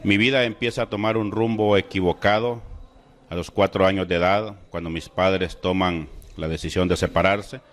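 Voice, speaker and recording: Salvadoran man, middle-aged, lowish voice, measured and solemn testimony delivery. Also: fairly even intonation. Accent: Salvadoran Spanish. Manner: slow, deliberate, solemn storytelling with emotional rises. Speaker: testimony speaker. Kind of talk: personal religious testimony.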